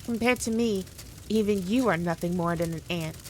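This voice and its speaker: A woman playing a powerful demon queen in an ASMR roleplay, condescending, teasing and theatrical, with deliberate, drawn-out phrasing. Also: fairly low pitch, slight background noise, lightly acted. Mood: teasing, superior. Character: powerful demon queen, haughty, teasing, dominant, toying with her foe. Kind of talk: scripted ASMR roleplay addressed to the listener.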